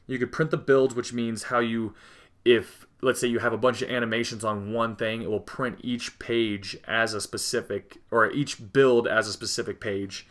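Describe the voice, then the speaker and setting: A man with a somewhat low voice guides viewers through an app's settings in an unscripted, easygoing way. He fills pauses with "uh" and "um" and corrects himself mid-sentence. It sounds like a casual tutorial host. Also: fairly bright tone. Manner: casual, frequent fillers and self-corrections. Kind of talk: unscripted screen-recording walkthrough.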